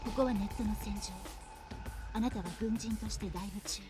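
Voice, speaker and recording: A woman voicing a serious, polite young military aide in a Japanese visual novel, speaking formally and calmly. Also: medium pitch, rapid-fire, close to an everyday voice. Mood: matter-of-fact. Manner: formal, polite, calm, matter-of-fact. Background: clear background music.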